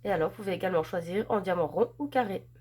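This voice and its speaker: A woman talks through an unboxing in a loose, chatty way. She is stuffed up from a head cold. She gushes over what she shows and fills her pauses with fillers. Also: mid-range voice, darkish tone, slightly rough. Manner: chatty, gushing, filler-heavy. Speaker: crafts channel host. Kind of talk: casual unboxing chat.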